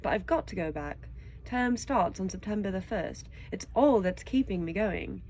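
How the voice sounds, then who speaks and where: Storybook schoolboy, twelve, read by a woman: earnest, worried, protesting, medium pitch. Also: lively intonation, moderate pace, a little mellow, a little hiss or hum, close to an everyday voice, poor audio. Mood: dismayed, protesting. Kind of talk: storybook character reading.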